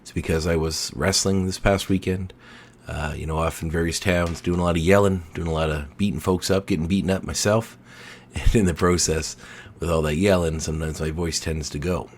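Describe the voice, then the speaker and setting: A man hosting a podcast in a relaxed, rambling chat, his baritone voice a little hoarse. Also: a little fast, a little hiss or hum. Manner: laid-back, filler-heavy rambling. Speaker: podcast host.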